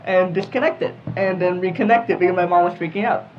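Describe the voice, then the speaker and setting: A man's voice: sits high, fairly even intonation, fast, a little hiss or hum. A thirteen-year-old boy casually explaining things in a group chat. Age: 13, young teenager. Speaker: talk panelist. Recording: slightly dull audio with faint music.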